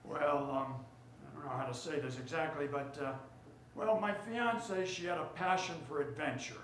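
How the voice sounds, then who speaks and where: A man's voice: a little high, normal speed, a bit bright. A man playing a sentimental, self-important store heir in a 1940s-style radio drama, speaking formally and theatrically. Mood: wistful, confiding. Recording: roomy theater stage, faint noise.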